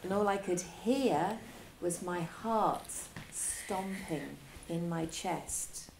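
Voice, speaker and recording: A female teacher reading a children's story aloud, with expressive delivery and dramatic pauses. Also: lowish voice, vocal fry, background noise.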